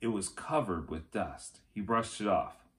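A man, reading a children's book aloud as expressive narration. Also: lowish voice, a little slow. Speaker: read-aloud storyteller. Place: small furnished room at home, indoors.

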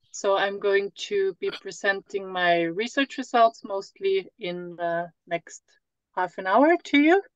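A woman's voice: medium pitch, no room sound. A woman from Austria, a researcher, briefly introducing herself on a video call in a dim room. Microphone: laptop webcam on video call. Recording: dry, dull lo-fi call audio.

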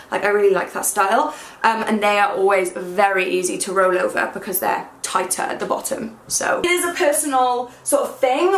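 A young woman with a croaky, hungover voice, chatting excitedly in a casual try-on haul vlog. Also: a little high. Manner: excitable and gushing. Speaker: fashion vlogger. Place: small bedroom, indoors. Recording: echoey room.

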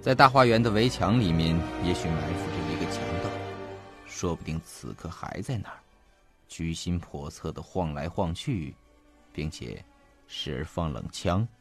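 Deep-voiced, earnest male investigator. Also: animated. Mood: ominous, insistent. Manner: formal, deliberate, detailed. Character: earnest male investigator recounting a mysterious disappearance. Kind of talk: Chinese audio drama acting, measured storytelling delivery. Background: faint background music.

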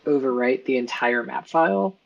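A man co-hosting a casual coding chat, explaining his changes in a relaxed way with plenty of fillers, speaking over a video call. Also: mid-range voice, moderate pace, gravelly, dull audio. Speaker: co-host of a game dev stream.

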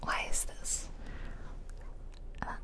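A woman giving whispered ASMR game commentary with playful, casual asides, recorded close to the microphone. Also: drawn-out words. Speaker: gaming streamer. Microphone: close ASMR microphone, ear to ear.

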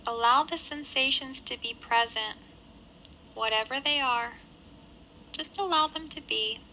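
A woman guides a body scan meditation in a slightly high, very bright voice. Her phrasing is measured and gentle, giving simple instructions with pauses between them. There is faint noise in the background of the recording. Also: low-quality recording.

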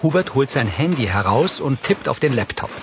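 A man's voice: medium pitch, big pitch swings, moderate pace, noisy background. A man narrating scripted scene descriptions in a neutral, matter-of-fact way as an audio describer.

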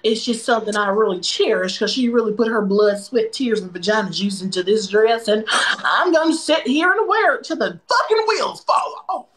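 A woman's voice: fairly high pitch, animated, moderate pace, a bit bright, slight background noise, rough recording. Woman playing a brash, crude church girl. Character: brash crude Southern church girl, twenty, God-fearing and shameless. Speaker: livestream comedian. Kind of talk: improvised character comedy on a live stream. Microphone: phone camera in a video split-screen.

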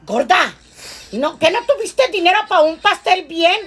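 A woman's voice: very high voice, big pitch swings, a little slow, a bit bright, faint music behind, heavily acted. A woman with a Mexican accent playing an ungrateful, foul-mouthed mother who mocks a gift. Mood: scolding. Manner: crude, insulting.

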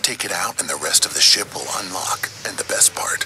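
A man's voice: sits low, brisk, in a whisper, lightly acted. A man playing a dry, sardonic ally guiding infiltrators in a video game. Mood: wry.

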